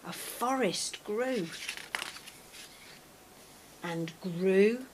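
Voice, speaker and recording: A woman reads a children's storybook aloud at a slow pace. Her pitch is average and her phrasing is deliberate and dramatic. There is a faint noise in the background of the recording.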